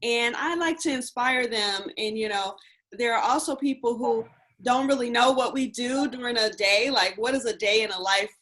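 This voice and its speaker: A woman hosts a casual interview and speaks in a fairly high voice. She asks questions and answers her guest with enthusiastic praise. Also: moderate pace, a bit bright. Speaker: interview host.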